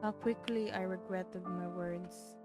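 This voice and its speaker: Female game streamer, expressive visual novel reader, unhurried, mid-range voice, faint music behind. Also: fairly low pitch. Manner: theatrical, expressive. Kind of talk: dramatic reading of visual novel narration with casual reactions.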